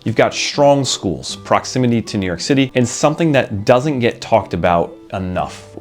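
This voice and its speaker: Low-voiced candid man from Connecticut. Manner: candid, conversational, lightly wry. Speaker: local resident vlogger. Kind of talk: scripted talk to camera. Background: soft background music.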